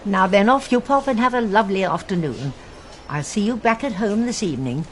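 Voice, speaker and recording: An actress playing a shrewd, determined elderly English lady sleuth in a radio drama, genteel, precise and gently commanding. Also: mid-range voice, animated, fairly quick, slight background noise, close to an everyday voice. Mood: firm, genteel. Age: older.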